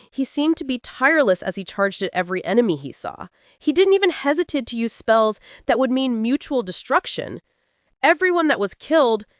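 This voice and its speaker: Female audiobook narrator for epic fantasy, lively intonation, dramatic delivery, dry studio-style sound. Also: on the high side, dry recording.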